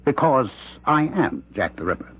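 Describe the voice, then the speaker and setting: An actor playing a condemned professor who claims a killer spirit possesses him, with halting, intense, theatrical delivery in an old-time radio drama. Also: male voice, medium pitch, sing-song, husky. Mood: menacing, intense. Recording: dull, band-limited radio drama recording.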